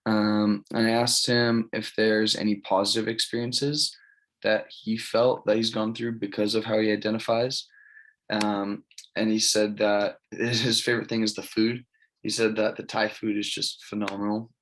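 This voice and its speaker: Young california guy doing a class presentation, lowish voice, pretty monotone, plain and casual. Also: normal speed, rough recording. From California, United States. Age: early 20s. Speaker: college student presenting an assignment. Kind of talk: informal spoken presentation.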